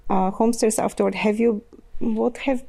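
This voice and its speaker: A woman hosting a podcast, reading an introduction and then asking a guest gentle, probing questions. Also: on the high side, steady tone, moderate pace, vocal fry. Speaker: podcast host. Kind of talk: interview hosting with a read intro.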